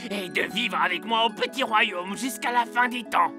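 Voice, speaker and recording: A performer voicing a blustery, boastful male garden gnome with a gravelly voice in a children's cartoon. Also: mid-range voice, normal speed, over music, natural voice. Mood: romantic. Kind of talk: animated children's cartoon dialogue.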